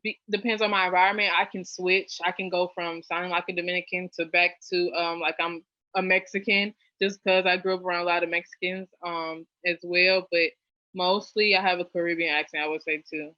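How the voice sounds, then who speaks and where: A young woman from New Orleans chatting as an interview guest, with lots of fillers and lively jumps in pitch. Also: brisk, no echo. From New Orleans, US South. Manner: chatty, many fillers, animated rises in pitch. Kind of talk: casual interview chat. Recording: dull lo-fi dry sound.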